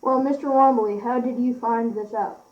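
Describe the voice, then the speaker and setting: A woman's voice: fairly high pitch, steady tone, normal speed, natural voice. A young teenage girl playing a polite, incredulous London newspaper reporter in an amateur school radio play. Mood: polite, inquiring. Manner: courteous, flustered. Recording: dull amateur recording.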